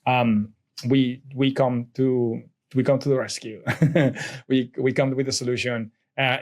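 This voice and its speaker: A middle-aged man from Colombia with a Colombian Spanish accent, a native Spanish speaker talking as an interview guest in a rambling way with many restarts and fillers. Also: medium pitch. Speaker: guest, real estate investor. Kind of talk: casual interview chat.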